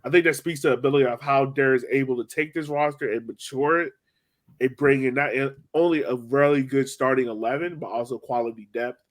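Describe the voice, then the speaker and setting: A man's voice: medium pitch, a little fast. A man in casual sports podcast chat, rambling along with lots of "like" and "you know". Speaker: soccer podcast host.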